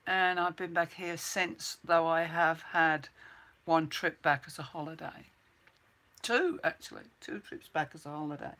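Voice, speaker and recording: Older english lady, accent gone a bit kiwi, bright sharp voice, chatty and rambly, repeats herself. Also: sits low, a little slow. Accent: English with some New Zealand mixed in. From England (Birmingham). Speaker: livestream host. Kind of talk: casual chat to viewers.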